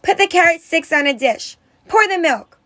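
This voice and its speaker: A flustered young storybook girl, voiced by a woman reader, high-pitched and anxious. She blurts urgent exclamations and hurried kitchen orders to her sister. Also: expressive, fairly bright tone.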